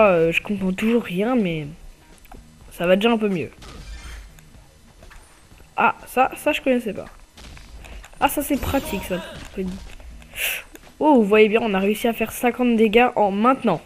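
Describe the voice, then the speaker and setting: A man's voice: high-pitched, faint music behind. A man with a slight cold giving casual, hesitant commentary over his own gameplay as channel host. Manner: hesitant, many filler pauses.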